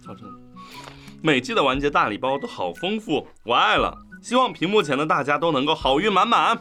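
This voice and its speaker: Male host, bright and animated, casual banter, soft music behind. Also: a little high, fairly quick. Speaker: show host.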